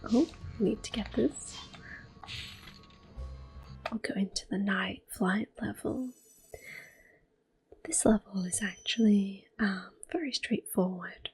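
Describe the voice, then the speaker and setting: Soft-spoken young woman whispering close. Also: mid-range voice, takes it slowly, vocal fry, long pauses, slightly rough. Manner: calm and chatty, with gentle fillers. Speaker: ASMR gaming host. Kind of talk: ASMR gameplay commentary. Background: faint video game music.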